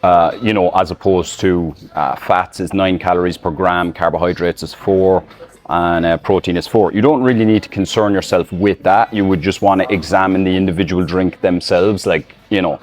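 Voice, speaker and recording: Irish male fitness coach, deep low voice, casual selfie-video advice, loose and filler-heavy. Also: fairly even intonation, normal speed. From Ireland. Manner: loose, rambling, many fillers and restarts. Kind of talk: casual talking-to-camera advice. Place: outdoors in public, filming selfie-style. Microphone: phone camera in selfie mode.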